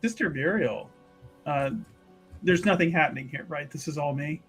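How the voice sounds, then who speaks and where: A man playing a tabletop game, narrating his character in a halting, deliberate, improvised way. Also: a little high, breathy edge, faint music behind.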